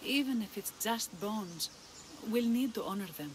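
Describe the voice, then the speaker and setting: A woman's voice: mid-range voice, expressive, normal speed, a little hiss or hum, close to an everyday voice. An actress playing a worried, dignified female magistrate of an ancient Greek village in a video game, formal and earnest. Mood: earnest, pleading. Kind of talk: video game cutscene acting.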